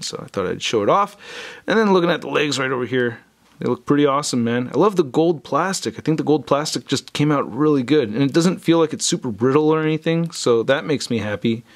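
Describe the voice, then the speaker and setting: A man hosting a casual, unscripted review video, talking in a chatty, informal, slangy way. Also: mid-range voice. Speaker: toy review video host.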